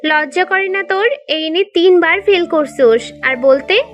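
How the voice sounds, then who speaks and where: A woman's voice: very high-pitched, normal speed, a bit bright, soft background music. A woman playing a stern, exasperated mother in an audio story, insistent, with a Bangladeshi accent. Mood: angry scolding. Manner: scolding, insistent. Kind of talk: scripted audio story drama.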